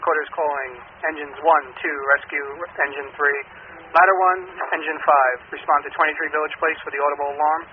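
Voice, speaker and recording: A man's voice: a little high, level tone, fairly quick, recorded from a distance. A man working as a fire dispatcher, reading out procedural calls over a two-way radio. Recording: tinny radio channel with faint noise.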